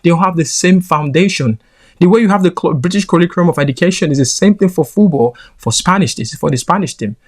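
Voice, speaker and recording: A man's voice: on the high side, animated, quick pace. A man with a Nigerian accent giving heated football reaction commentary, indignant and emphatic. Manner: indignant, rising into emphatic rants.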